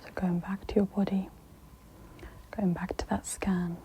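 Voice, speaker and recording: A woman hosts a guided ASMR meditation in a soft, breathy whisper. Her delivery is very slow and soothing, with a dark, mellow tone. A faint noise sits behind the close recording. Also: mid-range voice, level tone. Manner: soft, gentle, soothing instruction.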